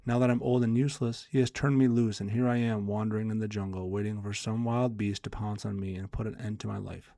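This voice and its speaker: A man's voice: on the low side, fairly even intonation, quick pace, vocal fry, few pauses, dark tone, no room sound. Man reading as bitter old storybook donkey. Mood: aggrieved, resigned. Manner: complaining. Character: bitter, worn-out old male donkey of a fable.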